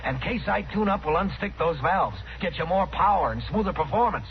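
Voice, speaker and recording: High-voiced chipper gas station attendant. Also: male voice, lively intonation, moderate pace, a little hiss or hum. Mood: eager, salesy. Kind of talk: 1950s radio ad acting. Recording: dull old radio recording, faint music.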